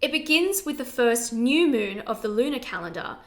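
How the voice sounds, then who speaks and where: A woman's voice: fairly high pitch, sing-song, moderate pace. A young woman from China co-hosting a casual chat, playful and wry as she explains family customs. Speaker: podcast co-host.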